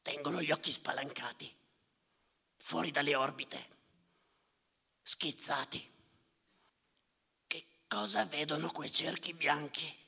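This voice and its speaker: An actor playing a bitter, sardonic storyteller of sea tragedies in a theatrical monologue, declamatory and repetitive, with a gravelly voice. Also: male voice, fairly high pitch, slow, long pauses, heavily acted. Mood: ominous, intense. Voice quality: gravelly, creaky. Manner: declamatory, repetitive, swinging from muttering to shouting. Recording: reverberant theatre with live music behind. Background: live music.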